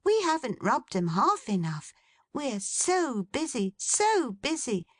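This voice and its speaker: A woman voicing Meg, a caring young woman, in a high, gentle, coaxing voice. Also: very animated, slow, dry recording, studio quality, heavily acted. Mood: tender.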